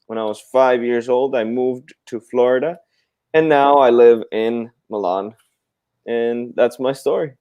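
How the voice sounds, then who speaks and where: A man from Puerto Rico, co-hosting a casual live stream and explaining things slowly. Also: mid-range voice, dark tone, smooth, dull audio. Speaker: co-host.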